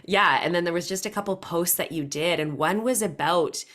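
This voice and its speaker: Low-voiced easygoing woman host. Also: moderate pace, fairly bright tone. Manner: easygoing, chatty and encouraging. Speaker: podcast host. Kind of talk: casual interview conversation.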